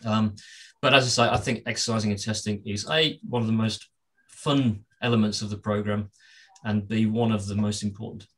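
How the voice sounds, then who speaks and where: Low husky guy, bit hoarse, explains things slow and careful with lots of restarts. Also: steady tone, a bit bright. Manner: measured, with many restarts and repeated words. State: slightly hoarse, clearing his throat. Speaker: business continuity expert and author, guest. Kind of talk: explanatory interview answers.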